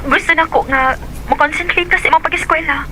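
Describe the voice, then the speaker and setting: A woman's voice: high voice, fairly even intonation, quick pace, over music, close to an everyday voice. An actress with a Cebuano accent playing a distant young girlfriend who answers curtly and defensively. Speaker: radio drama actress. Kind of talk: Cebuano radio drama acting.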